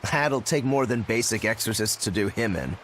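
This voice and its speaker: A man's voice: lowish voice, expressive, normal speed, fairly bright tone, slight background noise. An actor playing an easygoing, confident adult swordsman in a video game. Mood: relaxed, confident. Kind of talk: English video game voice acting.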